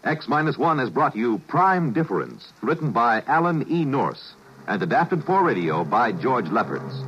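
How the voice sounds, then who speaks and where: A man giving the formal closing credits of a 1950s radio drama in a crisp, measured announcer delivery. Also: medium pitch, very animated. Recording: dull vintage 1950s radio broadcast with music.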